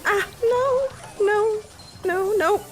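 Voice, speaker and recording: A woman's voice: very high-pitched, extremely slow. A woman chatting playfully while gaming, with a theatrical, exclaiming manner.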